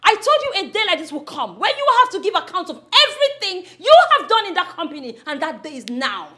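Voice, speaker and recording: Actress for a defiant young heiress, high bright voice, pushes toward a shout. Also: female voice, big pitch swings, fast talker, heavily acted. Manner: defiant, accusing, rising to near-shouting. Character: defiant young woman fighting her uncle over her father's company. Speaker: daughter confronting her uncle. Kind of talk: film drama acting.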